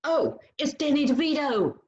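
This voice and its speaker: Animated actress as an eager mother. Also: high-pitched, moderate pace. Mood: eager guessing. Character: eager mother guessing at charades. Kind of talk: staged play reading. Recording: dull lo-fi recording.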